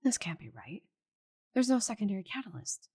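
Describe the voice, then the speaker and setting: A woman's voice: medium pitch, sing-song, a little fast, darkish tone, breathy edge, voice pushed up. A woman voicing a proud, stubborn giant female dragon in an intimate ASMR roleplay, haughty and secretive, slipping into whispers. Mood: grave, puzzled.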